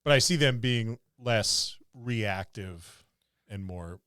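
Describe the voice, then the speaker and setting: A man's voice: on the low side, slow, fairly bright tone, dry recording, clean studio sound. A man co-hosting a podcast, musing aloud in a casual discussion about tabletop gaming. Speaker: podcast co-host.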